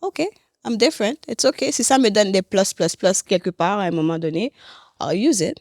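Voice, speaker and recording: Female podcast guest, medium-pitched, candid and expressive, occasional English phrases, dry studio sound. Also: normal speed, dry recording. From Haiti and Canada. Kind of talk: candid conversational interview.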